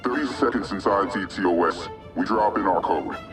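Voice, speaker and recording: Anonymous hacker collective voice , male actor: solemn pronouncements, low register, set back behind music. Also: fairly quick, lightly acted. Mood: solemn, ominous. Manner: ominous, declarative. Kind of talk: video game dialogue. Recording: distant voice over music.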